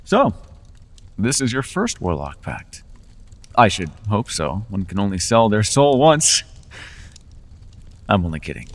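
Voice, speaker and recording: A man voicing a charming, manipulative male fey lord in an ASMR roleplay, speaking in a silky, coaxing, teasing way. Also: mid-range voice. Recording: long reverb.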